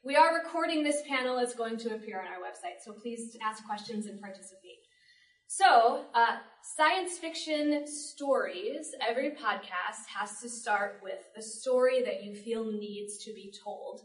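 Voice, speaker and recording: Echoey live room, higher-voiced woman, panel moderator, conversational delivery, picked up from a distance. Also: measured pace. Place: public library event room. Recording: roomy live panel recording, distant mic.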